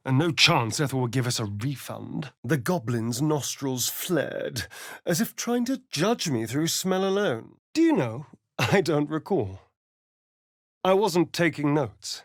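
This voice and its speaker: An actor voicing a vain, sardonic vampire spawn rogue in a video game, arch and theatrical with a drawling delivery. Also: male voice, medium pitch, no room sound, studio quality. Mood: wary, muttering. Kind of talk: video game character voice lines.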